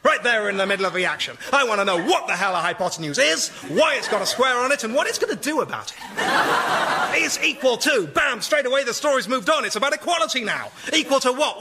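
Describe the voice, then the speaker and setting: Radio sketch role: gushing, pushy male agent, high register, salesman's patter and punchy delivery. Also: bright, forceful tone, full character voice. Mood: excited, dramatic. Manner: effusive, salesman-like, punchy exclamations. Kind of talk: radio sketch comedy acting.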